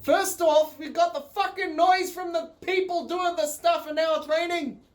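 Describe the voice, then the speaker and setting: Casting: Australian male vlogger, high voice, loose conversational storyteller with a sweary, rambling delivery, phone-recorded. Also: steady tone, normal speed. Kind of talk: casual storytelling vlog.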